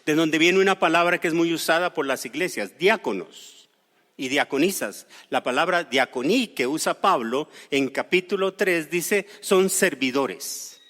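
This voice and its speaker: A man's voice: a little high, lively intonation, measured pace, a bit bright, big-room sound. A man from Costa Rica preaching a sermon, explaining scripture with personal stories and light humor. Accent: Costa Rican Spanish. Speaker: pastor. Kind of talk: sermon with storytelling.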